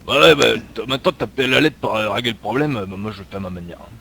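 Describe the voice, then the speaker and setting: A man's voice: on the low side, lively intonation, fast, fairly bright tone, heavily acted. A man improvising an exasperated, blunt police lieutenant in online game roleplay, swearing and fumbling for words. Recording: clearly noisy game voice chat.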